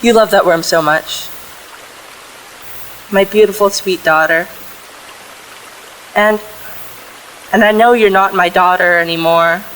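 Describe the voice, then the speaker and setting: A woman's voice: lowish voice, steady tone, slow, pauses often, fairly bright tone, slight background noise, low-quality recording. A woman playing a loving, worried mother of a teenager in a contemporary audio play, speaking earnestly and tenderly. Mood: tender, wistful.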